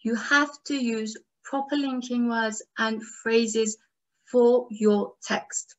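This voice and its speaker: A woman whose first language is Turkish, lecturing in English at a workshop, pausing on fillers and asking herself rhetorical questions. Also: fairly high pitch, little pitch movement, lo-fi sound. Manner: measured teaching, frequent fillers and rhetorical questions. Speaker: academic English instructor.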